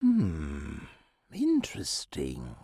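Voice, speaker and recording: Husky, highly animated professor. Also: male voice, no room sound, clean studio sound, exaggerated voice. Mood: intrigued. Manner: formal, articulate, slightly hurried. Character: busy, modest academic professor, evasive and fussy. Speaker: voice actor. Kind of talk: scripted point-and-click game dialogue.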